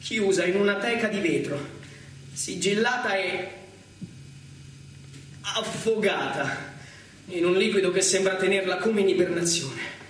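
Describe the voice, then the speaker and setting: A man narrating a dramatic stage monologue in a declamatory, measured way with theatrical pauses. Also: sits high, fairly bright tone, slight background noise. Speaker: solo stage actor narrating. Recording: very echoey theatre recording, distant, with music. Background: music.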